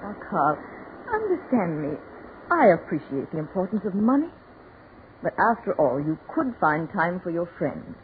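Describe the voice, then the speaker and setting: Lively, reproachful society lady. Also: on the low side, normal speed. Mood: urging, concerned. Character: earnest, reproachful society woman, mature and poised. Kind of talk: 1940s radio drama acting. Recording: scratchy 1940s radio broadcast, muffled, noisy.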